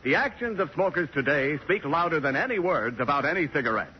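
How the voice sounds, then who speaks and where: A male announcer reading a forties radio commercial. His delivery is highly animated and a bit high in pitch, on muffled sound with clear noise. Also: a little fast. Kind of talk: 1940s radio advertising read. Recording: noisy, muffled old radio broadcast.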